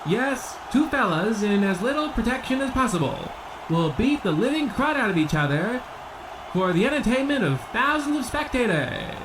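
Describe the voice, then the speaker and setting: A man's voice: extremely high pitch, very animated, normal speed, background noise. A man playing a grandiose boxing ring announcer obsessed with ancient Rome, in an old-time radio comedy style. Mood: grand, excited. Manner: grandiose, theatrical showman delivery.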